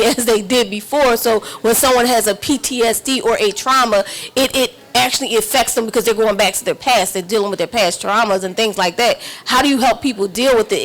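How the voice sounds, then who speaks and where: A woman's voice: a little high, poor audio. A woman asking conversational questions as an interviewer.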